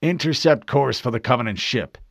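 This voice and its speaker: A man's voice: medium pitch, brisk, gravelly, no room sound, clean studio sound. A man voicing a resolute male starship captain who barks clipped military orders in a sci-fi audiobook. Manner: forceful, clipped military command.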